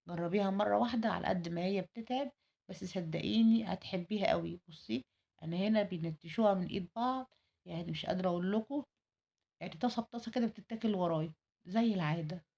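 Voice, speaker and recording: A woman's voice: medium pitch, vocal fry, darkish tone. A woman with an Egyptian Arabic accent in a chatty, effusive cooking vlog, her voice tired, repeating words for emphasis. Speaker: home cooking vlogger.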